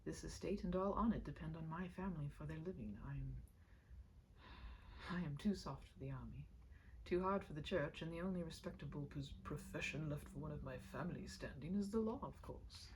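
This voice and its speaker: A woman voicing a discouraged young gentleman husband in a storybook read-aloud, speaking formally and haltingly with strained self-control. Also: low voice, a little hiss or hum, rough recording. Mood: resigned.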